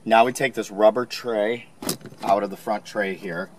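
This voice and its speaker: A man calmly explaining, step by step, how to install a car part, recorded inside a car. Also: on the low side, a little slow, slight background noise. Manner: calm, plain instructional. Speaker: installation instructor.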